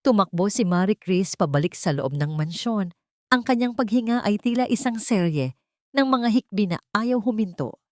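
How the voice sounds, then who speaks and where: A woman's voice: mid-range voice, lively intonation, normal speed, darkish tone, dry recording, studio quality. A woman storyteller reading scripted, dramatic narration of a romance drama.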